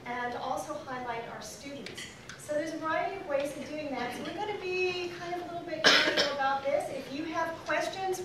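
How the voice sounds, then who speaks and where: A woman with a booming voice giving a presentation to an audience in a large dining room. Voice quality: booming projected voice. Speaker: program presenter. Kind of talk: public speech to a breakfast audience. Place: large restaurant dining room at a breakfast event. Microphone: far camera in the audience. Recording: very echoey, distant mic, loud room noise.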